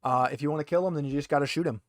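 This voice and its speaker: Male game master, fairly high voice, close dry sound, informal rules explanations. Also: very fast, no room sound. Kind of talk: narrating a tabletop game, casual.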